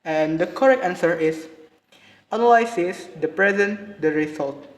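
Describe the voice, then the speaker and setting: A young man with an Indonesian accent giving a halting class presentation on pronunciation, repeating each word several times. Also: high-pitched. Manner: halting, repeats words for practice. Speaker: student presenter. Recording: roomy, reverberant with faint ambience.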